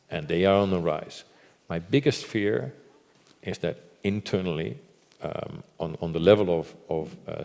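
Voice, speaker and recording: Deep, gravelly man on a panel. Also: darkish tone. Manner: measured, repeats words while thinking. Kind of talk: conference panel discussion. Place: conference hall. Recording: reverberant conference hall.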